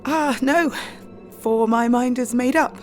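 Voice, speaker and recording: Young married woman, sorrowful yet steadfast, unhurried and restrained, audio drama over music. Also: on the high side, a little mellow. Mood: resolute. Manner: slow, earnest, restrained. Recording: music under the voice.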